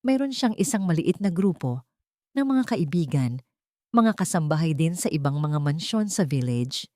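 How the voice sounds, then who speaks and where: Low-voiced woman narrating, dry sound. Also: big pitch swings, normal speed, a little mellow, no room sound. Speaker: audiobook narrator. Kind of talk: scripted first-person dramatic story narration.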